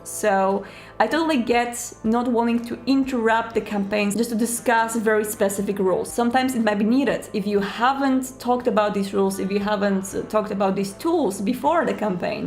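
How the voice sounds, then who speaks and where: A woman's voice: mid-range voice, moderate pace, a little mellow, faint music behind. A woman host giving chatty, conversational advice to viewers in a casual style. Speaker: host of a roleplaying advice video.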